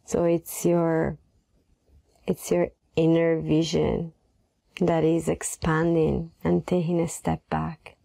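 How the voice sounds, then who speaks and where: A woman leading a guided meditation in a soft, gentle voice, unhurried and pausing between phrases. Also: sits low, completely flat delivery, gravelly, no room sound.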